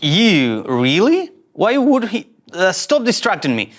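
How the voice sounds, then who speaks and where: An actor voices a young male ensign in a sci-fi comedy table read. The delivery is highly animated, swinging between forced captainly bravado and halting self-doubt. He is easily flustered and keeps second-guessing himself. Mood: flustered authority. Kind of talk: table read of comic sci-fi game script.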